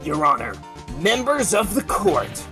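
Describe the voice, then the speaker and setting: A woman's voice: fairly low pitch, very animated, a little slow, over music. A woman voicing a cocky male defense lawyer in a courtroom game, theatrical, triumphant and taunting. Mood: confident.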